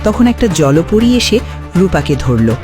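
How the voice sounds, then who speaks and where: A man's voice: high voice, very animated, unhurried, music in the background. A man reading scripted narration for a fairy-tale cartoon.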